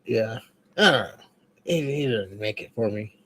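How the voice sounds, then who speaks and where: A man's voice: on the low side, animated, moderate pace, a bit bright, husky. A man co-hosting a podcast, chiming in with short, wry remarks and easy laughter. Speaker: podcast co-host. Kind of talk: casual chat.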